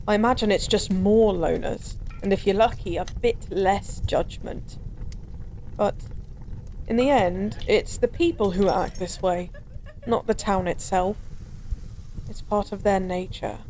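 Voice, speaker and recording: Woman acting as tired ex-goddess stranger in an audio roleplay, really mellow voice, wistful n philosophical, kinda formal, faint ambience behind her. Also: medium pitch, a little slow, a little hiss or hum, close to an everyday voice. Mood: resigned, bitter. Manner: wistful, philosophical, formal old-fashioned wording. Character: weary, lonely former goddess, a mysterious drinking stranger. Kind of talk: scripted intimate audio roleplay monologue. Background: bar ambience.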